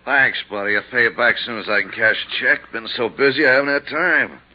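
An American actor playing an easygoing, cautious investigator's assistant in a 1950s radio drama, talking in a casual, chummy way. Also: male voice, mid-range voice, fairly quick, lightly acted. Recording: muffled 1950s radio recording.

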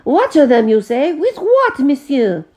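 A woman's voice: animated, measured pace, warm tone. A woman voicing a fretful immigrant man, wailing and exclamatory. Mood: exasperated. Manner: wailing, exclamatory, high-strung. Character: fretful French immigrant man with vine cuttings.

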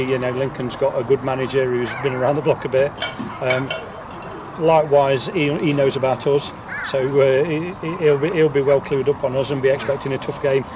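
A man, a football manager giving an informal interview, often repeating his words. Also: medium pitch. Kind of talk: informal post-match interview. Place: outdoors on a football pitch. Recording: distant outdoor mic, clear background noise.

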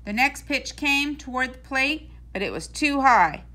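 A woman reading a children's storybook aloud in a deliberate, expressive storytelling manner. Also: sits high, a little hiss or hum.

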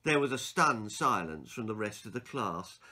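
A man in his sixties reading a children's story aloud in an expressive, theatrical and emphatic way. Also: on the low side, normal speed, husky. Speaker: author reading his own children's stories. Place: small book-lined room indoors.

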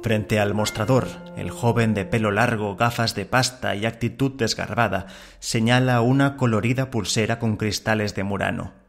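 A man's voice: lowish voice, steady tone, normal speed, vocal fry, music in the background. A man hosting a fiction podcast and narrating in a scripted style. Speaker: podcast host and story narrator.

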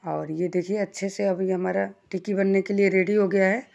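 A woman with a voice of ordinary, middle pitch hosts a home cooking channel. She talks in a homely, chatty way, explaining each step as she works at the stove. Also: steady tone, a little fast, creaky, a little mellow, highs cut off. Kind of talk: casual step-by-step cooking instructions.

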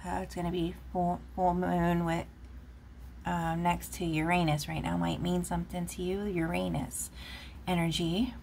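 A woman's voice: on the low side, little pitch movement, unhurried, creaky, darkish tone, slight background noise. A woman giving a casual, rambling tarot reading, chatting with lots of fillers while recorded off camera at a table indoors. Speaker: tarot reader.

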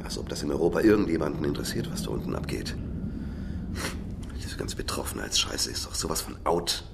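A man's voice: a bass voice, sing-song, fairly quick, vocal fry, noisy background, exaggerated voice. An actor playing a cynical, defensive middle-aged businessman under interrogation in a radio crime drama, self-justifying and swinging between muttering and heated outbursts. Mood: cynical, scornful. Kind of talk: naturalistic German radio drama acting.

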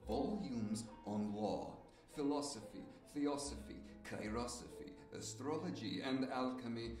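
A man's voice: mid-range voice, a little slow, lightly acted. A man voicing an old male sage in an audio drama who speaks in a proud, theatrical way. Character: old male sage boasting of a magic library. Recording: roomy, distant from mic, music bed.

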